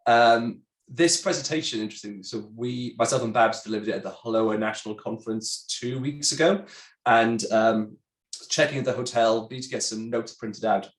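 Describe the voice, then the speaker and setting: A congested man with a chatty, halting manner, a sales director presenting a webinar. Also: medium pitch. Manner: chatty, many ums and restarts. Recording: roomy echo.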